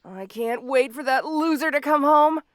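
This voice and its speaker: A woman playing a petty, obsessed female supervillain in an audio roleplay, theatrical and taunting, with mock-sweet, drawn-out words. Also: high-pitched, animated, no echo, studio quality. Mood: gleeful scheming. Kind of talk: scripted audio roleplay addressed to the listener.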